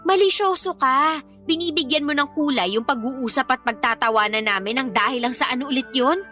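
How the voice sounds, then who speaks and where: High-pitched, exasperated young Filipina partner. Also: female voice, a little fast, sharp tone, faint music behind. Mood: indignant, sarcastic. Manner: sarcastic, scolding. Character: irritated, defiant young mother scolding her jealous live-in partner. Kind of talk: radio drama acting.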